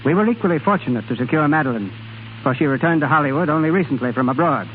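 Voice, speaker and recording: A man's voice: fairly high pitch, lively intonation, quick pace, narrow, tinny audio, slight background noise. A man hosting and narrating a 1930s radio theatre programme in scripted, period style. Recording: thin 1930s radio broadcast, faint music.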